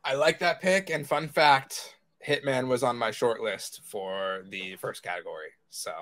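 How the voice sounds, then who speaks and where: A man's voice: medium pitch, a little slow, fairly bright tone. A man talking informally as a panelist in a casual pop-culture podcast chat.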